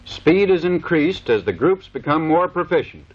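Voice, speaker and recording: A man's voice: fairly high pitch, sing-song, moderate pace. An American man giving formal, clipped, scripted instructional narration in the manner of a 1930s military training film. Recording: dull vintage 1930s film soundtrack.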